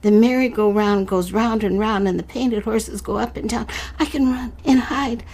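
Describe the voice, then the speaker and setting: A woman voicing a frightened young girl who plans to hide away, in a hushed whisper. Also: fairly high pitch, moderate pace, pitched above the performer's own voice. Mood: hushed, fearful. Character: frightened young girl hiding in her mind.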